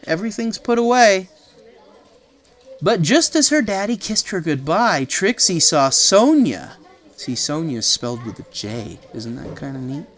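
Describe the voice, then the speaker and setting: A woman's voice: deep voice, slight background noise. A woman reading a children's picture book aloud, chattily explaining the pictures with casual asides. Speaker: read-aloud storyteller.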